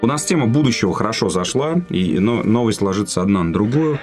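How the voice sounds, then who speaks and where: A man with a low voice co-hosts a loose, profane podcast, stumbling through news items between jokes. Faint music sits under the talk. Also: lively intonation, fast talker, a little mellow. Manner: crude joking, hesitant restarts. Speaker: podcast co-host reading news. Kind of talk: casual banter podcast.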